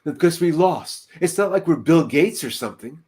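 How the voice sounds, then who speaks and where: An older man casually recalling anecdotes as a podcast co-host, in halting, piecemeal phrases, over a video call from home. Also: fairly high pitch, animated, a little mellow, husky.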